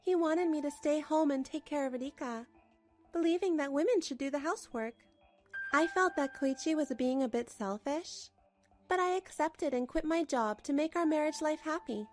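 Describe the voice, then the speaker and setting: High-voiced, weary young housewife, acted by a woman. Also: moderate pace, soft background music, close to an everyday voice. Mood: resigned narration. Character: weary, put-upon housewife narrating her own troubles. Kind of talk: first-person scripted story narration, manga dub.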